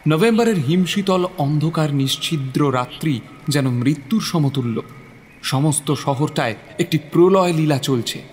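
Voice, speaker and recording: A man's voice: fairly high pitch, lively intonation, unhurried, a little hiss or hum. A man narrating a horror story in a theatrical, suspenseful style.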